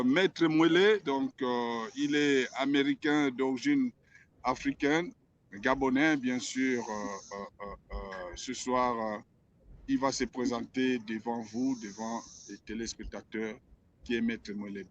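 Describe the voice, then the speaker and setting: Hesitant male radio host, slow. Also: mid-range voice, steady tone. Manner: hesitant, many drawn-out fillers. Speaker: interviewer host. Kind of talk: broadcast interview introduction. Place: radio station premises. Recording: dull lo-fi sound.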